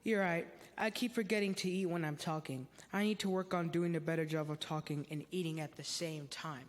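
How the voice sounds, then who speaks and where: Young man as high-voiced chatty teen in echoey hall. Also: steady tone, a little fast, close to an everyday voice. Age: teenager or young adult. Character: chatty, pun-loving teenage boy, excitable and joking. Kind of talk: church reader's theater.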